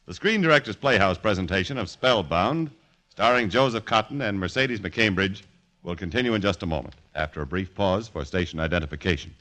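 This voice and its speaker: A man's voice: sits low, expressive, brisk, pushed and bright. A man announcing in 1940s radio style, with a polished, emphatic scripted sales delivery.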